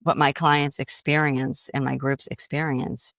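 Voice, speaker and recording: A woman's voice: a bass voice, little pitch movement, unhurried, gravelly, fairly bright tone, no room sound, clean studio sound. A middle-aged woman from Boston with a slight Boston accent, chatting as a grief coach on a podcast and animatedly acting out inner voices. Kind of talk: casual podcast conversation.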